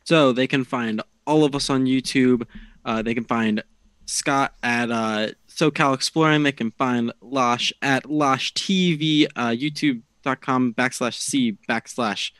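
A man's voice: mid-range voice, a little slow, a bit bright, dry recording. A man co-hosting a casual video-call show on a headset, matter-of-fact. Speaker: co-host. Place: home room, video call.

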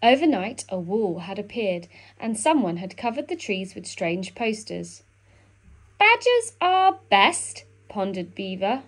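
A woman reading a children's picture book aloud in an expressive storytelling style. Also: medium pitch, moderate pace, few pauses, husky. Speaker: storybook reader.

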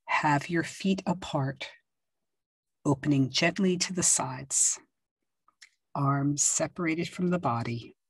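Slow breathy low-voiced woman guiding meditation. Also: no echo. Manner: slow, soft and soothing, with long pauses and gentle instructions. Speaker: yoga nidra teacher. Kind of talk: guided meditation.

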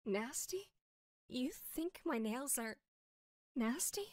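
A woman voicing a vain, touchy animatronic wolf in an animated comedy, dramatic and indignant in her delivery. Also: very high voice, slow, full character voice. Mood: offended, menacing.

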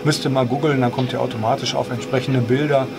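A man explaining things in a casual, measured, slightly hesitant vlog style. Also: medium pitch, fairly even intonation. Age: 50s. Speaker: host of a home cockpit tutorial channel. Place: small home flight simulator cockpit, indoors.

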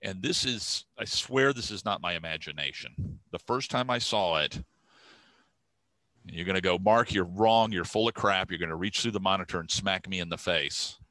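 A man telling stories in animated, jokey casual chat on a video call, at a desk mic. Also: low-pitched, normal speed, no echo. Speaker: panelist.

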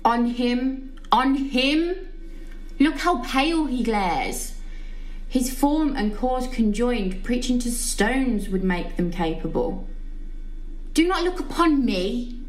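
A haunted, grieving prince , played by a woman, in a Shakespearean radio play. The high voice swings widely and intensely, yet takes its time over the verse. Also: takes it slowly, poor audio. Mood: agitated. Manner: dramatic, animated delivery of verse. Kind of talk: Shakespearean audio drama.

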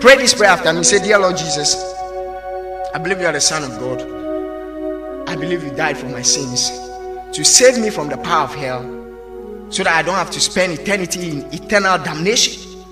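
A man's voice: a little high, lively intonation, normal speed, long pauses, music in the background. A man preaching an evangelical sermon, exhorting emphatically and putting rhetorical questions to his listeners.

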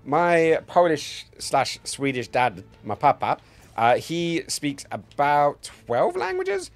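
A man's voice: on the high side, big pitch swings, slow pace, soft background music. A man in his forties chatting casually and jokily, with plenty of swearing, as he plays a game on a live stream at a close mic. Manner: sweary, jokey, rambling. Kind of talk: casual live-stream gameplay commentary and chat. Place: indoor home streaming setup. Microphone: close streaming mic on a boom arm.

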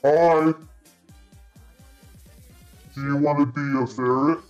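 Man, playful, slightly high voice, casual virtual chat banter, music underneath. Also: steady tone, slow, dull audio. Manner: playful, greeting strangers. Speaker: streamer, cult leader in VR chat.

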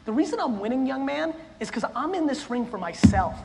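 A man's voice: extremely high pitch, sing-song, fairly quick, vocal fry. A man born in the former Soviet Union, speaking bluntly and intensely with some swearing as a keynote speaker answering audience questions on a stage. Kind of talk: live audience Q&A. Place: stage of a large hall before an audience. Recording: long hall reverb, faint noise.

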